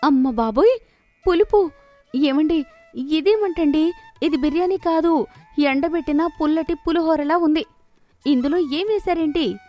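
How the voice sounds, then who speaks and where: A woman's voice: high-pitched, measured pace, pauses often, mellow, soft background music, voice pushed up. A woman storyteller voicing a dramatic, outspoken village wife who exclaims expressively in a cartoon moral story. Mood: disgusted, shocked.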